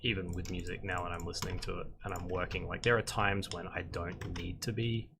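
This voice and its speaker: A young man, a concept artist and instructor, chatting casually and rambling in a flat monotone while he works on a livestream. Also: sits low, fairly quick, creaky, background noise. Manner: monotone, rambling with many fillers.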